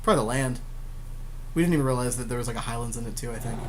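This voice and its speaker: A man's voice: medium pitch, racing, background noise. A man talking casually as a gaming podcast co-host, slangy and informal, with offhand swearing. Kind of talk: casual gaming commentary, chatting while drafting cards.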